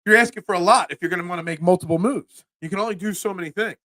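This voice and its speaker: A man's voice: high-pitched, rapid-fire, bright, forceful tone, dry recording. Chatty Philadelphia man hosting sports talk. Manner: rambling, stammering restarts, chatty asides to viewers. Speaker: sports show host. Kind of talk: solo live-stream sports talk, reading chat.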